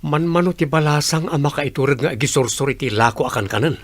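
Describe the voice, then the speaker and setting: An actor playing an easygoing, curious young construction worker in casual audio drama dialogue. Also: male voice, a little high, fast, no echo. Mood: curious, admiring.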